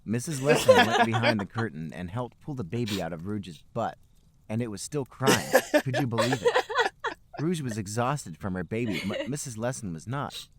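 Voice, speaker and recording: A man's voice: on the low side, huge pitch swings, normal speed, background noise. A man hosting a podcast, reading fan fiction aloud for comedy. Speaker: podcast host and reader.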